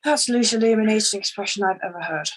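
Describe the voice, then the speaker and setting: A woman's voice: medium pitch, fast, breathy edge, close to an everyday voice. An actress playing an exasperated, sarcastic, foul-mouthed woman in a screenplay table read. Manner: sarcastic, cutting.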